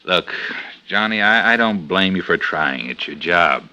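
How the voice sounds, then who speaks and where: An American actor playing a blunt, world-weary police lieutenant in a 1950s radio detective drama. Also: male voice, deep voice, animated, unhurried, bright, forceful tone, exaggerated voice. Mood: matter-of-fact. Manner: blunt, matter-of-fact.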